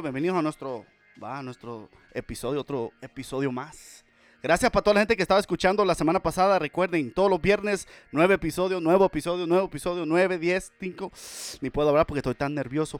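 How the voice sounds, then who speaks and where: A man hosting a casual Spanish-language radio podcast, talking with energetic, repetitive, playful hype. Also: a little high, a little fast, no room sound, faint music behind. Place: home studio in Las Vegas.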